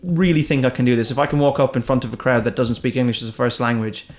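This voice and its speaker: A man's voice: medium pitch, fast talker. A man with an Irish accent, a stand-up comedian chatting casually and rambling through his stories with restarts. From Ireland. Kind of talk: casual chat.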